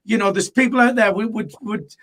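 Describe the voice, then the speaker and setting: A man's voice: sits high, fairly quick, a bit bright. A man hosting a live football fan show, blunt and full of fillers as he moves between panelists. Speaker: host.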